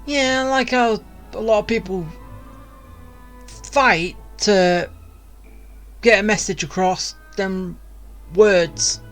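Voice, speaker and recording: Woman game streamer, casual commentary, very slow halting pace, medium pitch, faint music.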